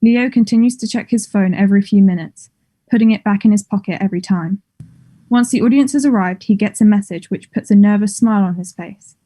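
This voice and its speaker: Mellow woman reading stage directions. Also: medium pitch, fairly quick. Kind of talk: scripted narration at a table read.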